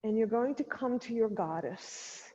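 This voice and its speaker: Woman, yoga instructor, slow gentle cueing, mellow voice, long reverb, dull recording. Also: a little high, expressive. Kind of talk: guided chair yoga instruction.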